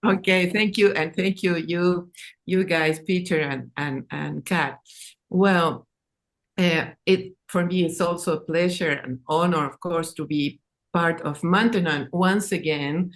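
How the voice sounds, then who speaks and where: A woman, not an English speaker, presenting her own work in a halting, informal way, repeating words. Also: on the low side. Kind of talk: informal artist talk and reading.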